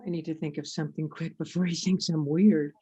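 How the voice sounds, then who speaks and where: A woman's voice: deep voice, steady tone, warm tone, husky. An actress playing an anxious, witty woman who overthinks every word with dry asides, in a stage comedy. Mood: wry. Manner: wry, overthinking, quick asides. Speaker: actor in a one-act play. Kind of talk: stage comedy inner monologue. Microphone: video call.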